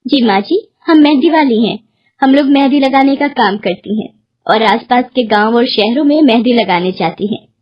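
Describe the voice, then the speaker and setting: A woman's voice: on the high side, moderate pace, highs cut off, close to an everyday voice. A woman voicing a caring, respectful young village henna artist in a story. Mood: reassuring.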